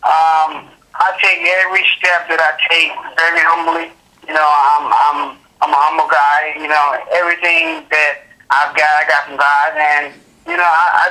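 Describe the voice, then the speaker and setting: A young man with a high, very bright voice talks bluntly about fighting, circling back with plenty of "you know"s. He comes through a phone line. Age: 20s. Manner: blunt, repetitive, many fillers. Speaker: boxer guest. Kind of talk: phone interview.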